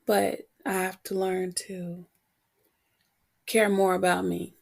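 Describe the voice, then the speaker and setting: A woman podcast host talking reflectively and candidly on her own, her voice husky and prone to cracking as she gets over a cold. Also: medium pitch, little pitch movement. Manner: candid, unhurried, pausing between short phrases. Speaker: podcast host and motivational coach. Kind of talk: reflective solo podcast talk.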